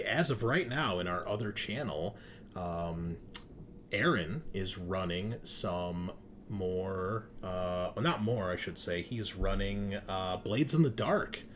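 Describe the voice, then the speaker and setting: A man's voice: on the low side, slight background noise. A man hosting a tabletop game show, chatting casually and upbeat as he introduces the players. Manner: informal, upbeat, chummy. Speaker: host and game master of a tabletop RPG show.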